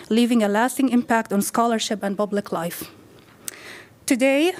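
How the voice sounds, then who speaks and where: Woman, bright higher voice, formal prepared statement, measured, council podium mic. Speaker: community advocate. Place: city council chamber.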